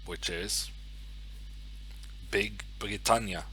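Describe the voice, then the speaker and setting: A man's voice: on the low side, fairly even intonation, extremely slow, bright, forceful tone, a little hiss or hum, rough recording. A young man with a Norwegian accent chatting casually on a live stream, thinking aloud and muttering to himself as he sets up. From Norway. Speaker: chess streamer.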